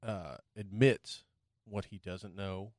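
Man, lowish pitch, reflective casual chat, trailing off, dry recording. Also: steady tone, slow pace, darkish tone, no room sound.

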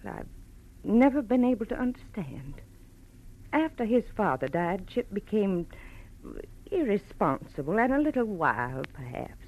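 A woman's voice: fairly low pitch, a little mellow. An actress playing a sweet, brave gray-haired mother, anxious and hesitant, in an old-time radio drama. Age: middle-aged. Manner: hesitant, halting, genteel. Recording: muffled vintage radio recording.

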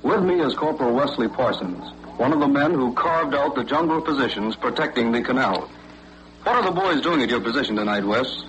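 American corporal interviewing on vintage radio. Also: male voice, mid-range voice, lively intonation, a little fast, fairly bright tone. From West Virginia, United States. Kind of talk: scripted 1940s radio interview. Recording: faintly noisy vintage 1940s radio broadcast.

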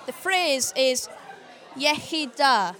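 Young welsh woman, high voice, friendly and patient, some crowd noise. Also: expressive, pushed and bright. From Wales. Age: young adult. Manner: friendly, patient, teaching phrases slowly. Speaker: local guest. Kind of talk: casual chat.

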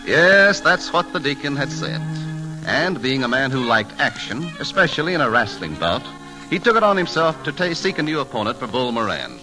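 A man's voice: mid-range voice, sing-song, normal speed, rough recording. A man narrating a scripted story in a wry, theatrical old-time radio style. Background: music.

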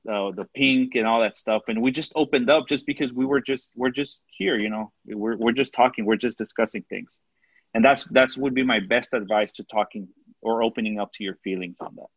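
A man with a Mexican accent giving sincere advice in a casual podcast chat, halting and restarting often as he works through his thoughts. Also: medium pitch, breathy edge, no room sound, muffled recording. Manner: earnest, halting, many restarts and fillers.

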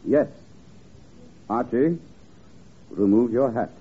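An actor playing a pompous, absent-minded master detective with theatrical delivery in a 1950s radio comedy spoof. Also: male voice, mid-range voice, lively intonation, takes it slowly, husky, heavily acted. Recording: tinny, band-limited old radio recording with hiss.

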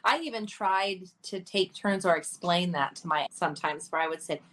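Earnest, laughing woman, low-pitched voice. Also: moderate pace, a bit bright, slight background noise. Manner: earnest, breaks into frequent laughter. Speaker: podcast co-host. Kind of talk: candid conversational podcast talk.

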